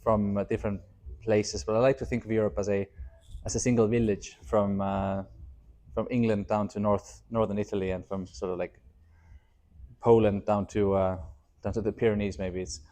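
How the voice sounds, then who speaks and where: Founder guest, male, 30s, soft dark timbre, measured and hesitant interview delivery. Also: fairly low pitch, level tone. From Estonia. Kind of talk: reflective interview answers.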